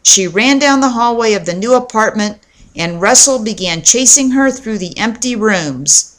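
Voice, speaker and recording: A woman narrating a children's storybook aloud in a measured, clearly phrased read-aloud delivery. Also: on the high side, expressive, a bit bright, low-quality recording. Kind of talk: storybook narration with acted dialogue.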